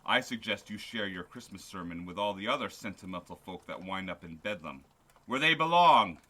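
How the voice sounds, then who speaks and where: A man's voice: lowish voice, animated, normal speed, pushed and bright. An actor playing a cold, miserly older businessman in a radio play, curt, sarcastic and dismissive. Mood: scornful sarcasm. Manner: curt, scornful, sardonic.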